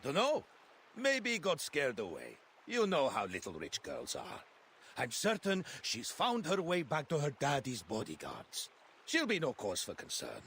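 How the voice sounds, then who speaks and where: Swooping, wily older schemer. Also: male voice, medium pitch, wildly swooping pitch, a little slow, fairly bright tone, slight background noise, full character voice. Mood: breezy, dismissive. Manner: theatrical, folksy, ingratiating. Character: wily older male schemer, charming and sly. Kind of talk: video game character acting.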